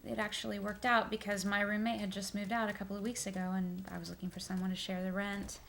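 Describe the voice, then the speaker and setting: A woman's voice: mid-range voice, flat delivery, fast, creaky, a bit bright, a little hiss or hum. A woman playing an easygoing young city woman, talking casually and a little hesitantly, in a matter-of-fact way. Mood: wry, casual.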